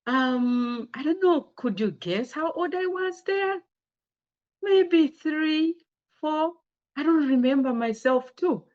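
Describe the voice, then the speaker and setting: A woman's voice: high-pitched, animated, slow pace, darkish tone, lo-fi sound. A woman with a Zambian accent giving an informal slide presentation, conversational and often putting rhetorical questions to her listeners. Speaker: speaker sharing her career story with girls.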